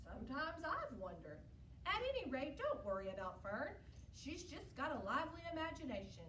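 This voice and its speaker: An easygoing storybook farm father, as a woman reads him aloud. He sounds amused and reassuring, with a lively rise and fall. The voice is a little distant in an echoey room. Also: high voice, normal speed, pitched above the performer's own voice. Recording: roomy echo, distant mic, faint noise.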